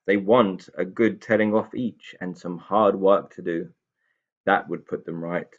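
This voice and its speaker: A man voicing a strict, brisk aunt from a children's story, firm and no-nonsense. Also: low-pitched, fairly even intonation, dull audio. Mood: scolding. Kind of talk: children's storybook character reading.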